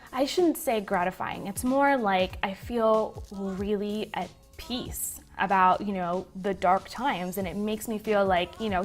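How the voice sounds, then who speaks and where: A woman in her twenties from Puerto Rico with a Puerto Rican accent, a native Spanish speaker, answering viewer questions to camera in a chatty way from her living room. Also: mid-range voice. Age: 20s. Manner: chatty, gestures a lot. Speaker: business vlogger. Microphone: webcam at desk.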